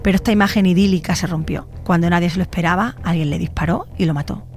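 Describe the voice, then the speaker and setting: A woman's voice: on the low side, quick pace, darkish tone. A woman presenting scripted documentary commentary as a journalist. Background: faint music.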